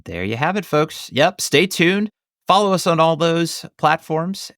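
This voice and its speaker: A man's voice: fairly high pitch, expressive, a little slow, fairly bright tone, no echo, studio quality. A man in his thirties hosting a podcast, chatting casually about films in a rambling, emphatic way. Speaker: podcast host. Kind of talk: casual film review chat.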